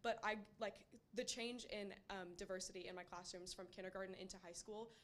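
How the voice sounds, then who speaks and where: A woman's voice: mid-range voice, fairly even intonation, brisk. Young woman, college freshman from Indiana, eager audience comment, faint background noise. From Indiana, USA. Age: young adult. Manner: eager and gushing, loud by her own account. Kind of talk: audience comment at a public lecture.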